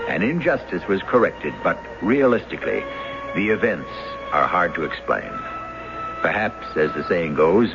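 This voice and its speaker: A man hosts a scripted radio mystery. His deep voice swings expressively and sounds portentous and rhetorical, with music playing behind him on a vintage broadcast recording. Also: muffled recording. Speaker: host and narrator.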